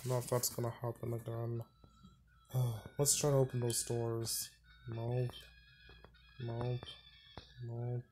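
A man's voice: mid-range voice, warm tone, a little hiss or hum. A man giving casual let's-play commentary, thinking aloud, muttering and sighing as he plays. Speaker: gaming channel host. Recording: faint game music behind.

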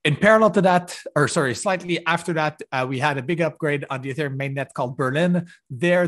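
A man giving a technical keynote over a video call, halting with many fillers and restarts. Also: medium pitch. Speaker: conference keynote speaker. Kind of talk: technical conference talk. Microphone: video call webcam.

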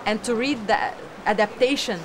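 Young actress from Lebanon, higher voice, earnest, recorded with noise behind. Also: female voice, moderate pace. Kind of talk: interview answers.

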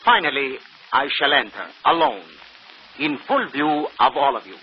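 An actor playing a confident, boastful stage magician, speaking formally and grandly in old radio mystery style. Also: male voice, medium pitch, sing-song, lightly acted. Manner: formal, grandiose, deliberate showman delivery. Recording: muffled, noisy old radio broadcast.

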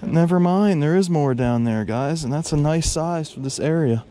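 A man narrating his fishing trip by a lake, talking casually and getting loud and excited when fish bite, recorded on a head-mounted camera. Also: fairly high pitch, moderate pace. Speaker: fishing vlogger. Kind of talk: casual outdoor commentary. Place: outdoors by a lake below a dam. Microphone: head-mounted action camera. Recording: faint outdoor noise.

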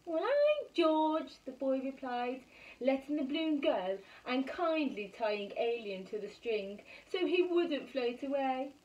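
A woman in her thirties reading a picture book aloud for children, expressive and full of exclamations and questions. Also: high voice, a little slow, lo-fi sound. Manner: animated, exclaiming, asking rhetorical questions. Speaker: primary school bedtime story reader. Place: small room at home. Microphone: camera a metre or two away. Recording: slightly distant phone camera recording.

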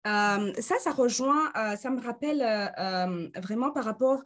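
A woman's voice: fairly high pitch, measured pace, a bit bright. A woman in her thirties moderating an online conference discussion, hesitating often with fillers, speaking into a webcam on a video call. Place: indoors in front of a green screen.

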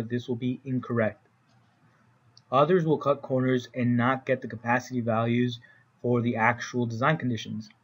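An American man giving an explanatory slide presentation as an instructor, in plain, informal phrasing. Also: mid-range voice. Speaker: instructor presenting training for code officials. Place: voice-over recorded for a slide presentation.

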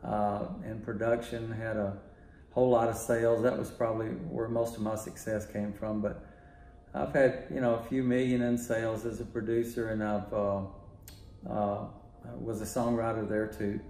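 An older man from Texas, a music producer talking in a relaxed, rambling way full of fillers, in his home studio. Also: lowish voice, moderate pace, echoey room. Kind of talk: casual interview talk.

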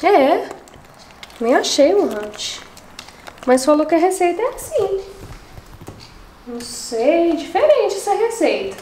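A woman's voice: extremely high pitch, big pitch swings, takes it slowly, long pauses, dark tone, echoey room, a little hiss or hum, rough recording. A young woman chatting to viewers in a casual, exasperated way as she cooks in her home kitchen. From Brazil. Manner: exasperated, chatty, talks to viewers while cooking. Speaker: channel host. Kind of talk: casual cooking vlog chat.

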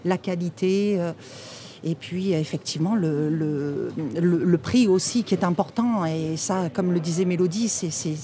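A woman's voice: on the low side, measured pace, warm tone, slight background noise. A middle-aged woman, co-manager of a restaurant and the mother of the pair, chatting easily in a radio interview on an outdoor terrace. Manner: chatty, addresses host with "si tu veux". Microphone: table mic on stand.

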